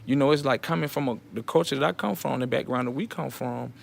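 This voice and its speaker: A man's voice: medium pitch, quick pace, gravelly, a little hiss or hum. A man from Birmingham, Alabama, with a Southern US accent, explaining things in colloquial conversation. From Birmingham, Alabama, USA. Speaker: prison poetry student. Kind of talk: conversational discussion.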